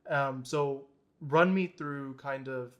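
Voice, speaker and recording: A young man hosting an interview podcast, easygoing and quick, asking questions and nodding along. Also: mid-range voice, muffled recording. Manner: easygoing, quick, agreeable backchannels. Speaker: podcast host.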